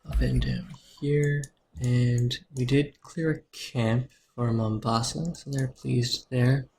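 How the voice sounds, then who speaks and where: Soothing-voiced man calmly narrating his game. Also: mid-range voice, takes it slowly, a little hiss or hum. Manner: calm, explaining his moves as he plays. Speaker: game streamer. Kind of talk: live game commentary.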